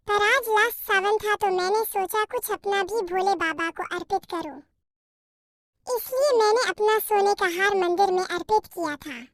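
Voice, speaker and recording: Woman voicing a very high, childlike meek bird wife. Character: timid, devout young daughter-in-law bird, female. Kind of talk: Hindi moral-story cartoon dialogue.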